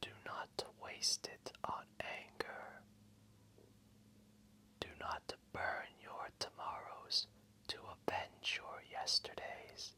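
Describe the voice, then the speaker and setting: A man voicing an ancient, wise and gentle old dragon in a gravelly, breathy voice, telling a bedtime story slowly and soothingly. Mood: soothing, hushed. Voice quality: gravelly, breathy, often whispered. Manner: slow, solemn, soothing, deliberate. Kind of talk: slow bedtime storytelling, ASMR.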